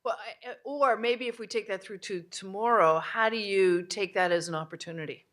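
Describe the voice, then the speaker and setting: A woman's voice: mid-range voice, normal speed, fairly bright tone. A woman moderating a live audience discussion at a conference, energetically prompting the room for takeaways. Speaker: summit facilitator.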